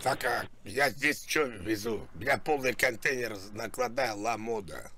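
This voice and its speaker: A man's voice: mid-range voice, normal speed, sharp tone, close to an everyday voice. A man voicing a stubborn, rambling old truck driver in a sweary, pleading prank phone call. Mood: weary pleading. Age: older. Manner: rambling, sweary, muddled complaining. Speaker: prank caller.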